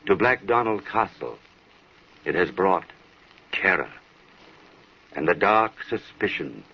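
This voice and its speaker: An actor playing a grave, ominous narrator of a gothic horror tale on old-time radio, deliberate and portentous. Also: male voice, sits low, very animated, gravelly, husky, full character voice. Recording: muffled, hissy old radio broadcast.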